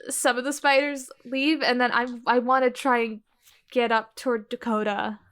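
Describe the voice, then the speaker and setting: A woman's voice: on the high side, level tone, normal speed, a bit bright, clean tone. A woman playing a tabletop game, chatting casually and hesitantly about her character's moves. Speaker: player.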